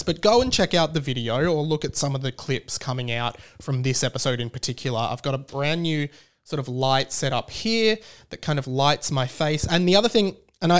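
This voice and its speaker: A man's voice: on the high side, lively intonation, a little fast. A man hosting a podcast solo in a casual, chatty style, rambling and often interrupting his own sentences. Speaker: podcast host.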